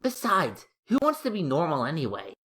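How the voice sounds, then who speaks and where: A woman's voice: low-pitched, huge pitch swings, normal speed, a bit bright, heavily acted. A woman playing a cocky, argumentative young superhero fan in a comic dub, brash and slangy. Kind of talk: comic dub character acting.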